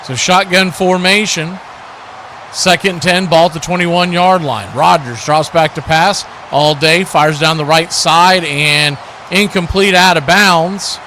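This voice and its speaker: Male sports commentator, higher-pitched, bright forceful delivery, clipped play-by-play phrasing, light background noise. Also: measured pace. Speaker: play-by-play host of a football watch party.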